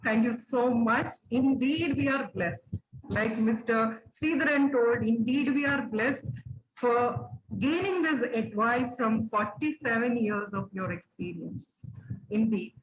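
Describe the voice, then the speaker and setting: A woman with a somewhat high voice delivering a formal vote of thanks. She speaks effusively and reverently, heard in a roomy recording with a faint hiss. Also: slow. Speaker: business coach giving vote of thanks.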